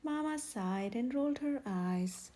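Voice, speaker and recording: A woman reading a children's picture book aloud as a storyteller, with expressive, clearly phrased narration. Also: on the high side, unhurried.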